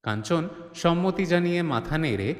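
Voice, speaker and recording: A man's voice: fairly high pitch, animated, moderate pace. A man delivering scripted, dramatic narration as the storyteller of an audio drama. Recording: echoey reverb on the voice.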